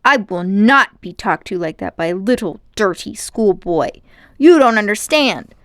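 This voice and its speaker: A haughty older gentleman magician, acted out by a woman reader, vain and cruel, speaks in grandiose, indignant phrases. The storybook character sounds close and dry. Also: mid-range voice, lively intonation, a little slow, no room sound, close to an everyday voice. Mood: indignant, boastful.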